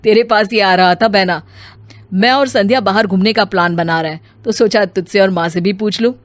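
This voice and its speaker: A man's voice: high voice, normal speed, a bit bright, dry recording. Young husband, read by a male actor: easygoing, friendly, plain-spoken, storybook dialogue, dry recording. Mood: agreeable.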